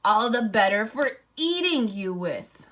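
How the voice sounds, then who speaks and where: A woman's voice: a little high, big pitch swings, measured pace, a bit bright. A woman teacher voicing a sneaky, hungry big male wolf while reading a storybook aloud. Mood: sly.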